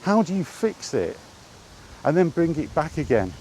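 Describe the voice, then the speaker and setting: A man's voice: on the high side, very animated, moderate pace, warm tone, low-quality recording. Exasperated British man over outdoor noise. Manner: exasperated, sweary, repetitive rhetorical questions. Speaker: gaming vlogger. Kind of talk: off-the-cuff rant while out walking. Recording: outdoor recording with clear background noise.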